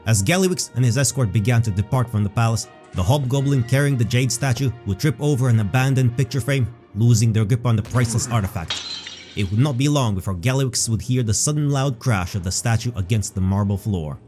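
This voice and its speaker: Male fantasy narrator over soft music. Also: medium pitch. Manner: measured, dramatic storytelling. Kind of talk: scripted fantasy story narration.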